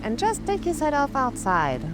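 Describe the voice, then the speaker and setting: A woman's voice: high voice, huge pitch swings, normal speed, over music. A woman playing a haughty, ruthless queen giving orders in a storybook dramatization. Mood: imperious. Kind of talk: dramatized audiobook.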